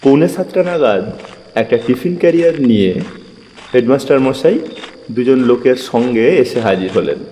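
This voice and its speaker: A man narrating a dramatized first-person story in an expressive, measured storytelling manner. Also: medium pitch, a little hiss or hum. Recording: long reverb, music bed.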